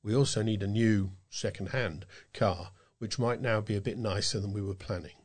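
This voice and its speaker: A man's voice: on the low side, moderate pace. A man reading scripted local news stories as a volunteer newsreader.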